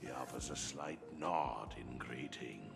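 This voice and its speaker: Slow, creaky talking monkey detective narrator. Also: male voice, extremely low pitch, exaggerated voice. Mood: grave, dramatic. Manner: theatrical, deliberate, condescending narration. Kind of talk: video game voice acting. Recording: game music behind the voice.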